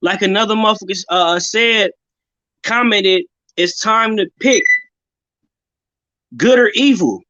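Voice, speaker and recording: A fervent, profane man speaking as a panel guest on a livestream, with a high pitch for a man. His audio is dry and dull, like a cheap stream mic. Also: measured pace, pauses often, bright, forceful tone, dry recording. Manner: fervent and profane, repeats "bruh". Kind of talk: impassioned livestream rant.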